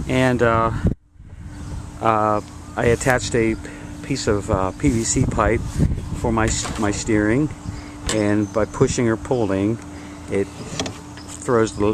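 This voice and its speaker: A man narrating his homemade build in a casual, halting way, filming outdoors in a backyard. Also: on the low side. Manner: halting, many uh fillers, matter-of-fact. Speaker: DIY builder. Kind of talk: casual show-and-tell narration. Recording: noisy outdoor camera audio. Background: wind and outdoor ambience.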